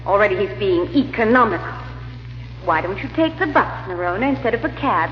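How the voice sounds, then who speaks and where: Actress as haughty countess, swooping and echoey. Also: female voice, mid-range voice, lively intonation, a little fast, close to an everyday voice. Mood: exasperated, disdainful. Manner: haughty, impatient, emphatic. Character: discontented, scheming countess, newly married, disdainful wife. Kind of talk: old-time radio drama acting. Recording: echoey, reverberant, muffled old radio recording.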